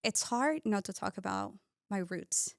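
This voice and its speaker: A woman's voice: mid-range voice, expressive, measured pace, vocal fry, dry recording, studio quality. Ecuador-raised woman telling her story. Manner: warm storytelling with frequent "uh" and small grammar slips. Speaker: guest, cosmetic scientist. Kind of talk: casual interview talk.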